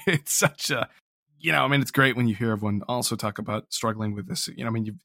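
A man with a mid-pitched voice talks as an interview guest in a close, dry recording. He is reflective and casual, earnest and a little self-deprecating, often restarting his phrases. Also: brisk, gravelly, bright, forceful tone, dry recording. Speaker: interview guest, audio drama writer.

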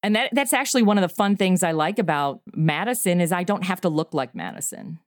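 A middle-aged woman talking through her creative work in a relaxed interview, upbeat and laughing easily. Her voice sits a little low for a woman. The recording is dry and close, with no room around it. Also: dry recording. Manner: animated, laughs often, quick asides. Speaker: podcast creator and guest. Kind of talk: casual interview chat.

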